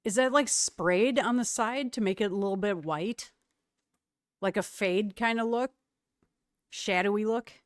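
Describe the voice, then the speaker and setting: Slow-talking Wisconsin woman streamer. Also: mid-range voice, no room sound, clean studio sound. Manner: chatty, laughs often, reads and answers chat. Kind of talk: casual chat with live chat.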